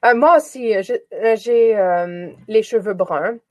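A woman's voice: mid-range voice, takes it slowly, darkish tone, dry recording. A woman from Quebec, chatting as an encouraging, reassuring conversation partner. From Quebec, Canada. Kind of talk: casual question-and-answer conversation practice.

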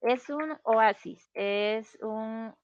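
A woman's voice: a little high, dull audio. A woman from Peru with a Peruvian Spanish accent, chatting casually and explaining things patiently. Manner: slow, patient, explains with pauses. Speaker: conversation partner. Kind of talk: casual conversation.

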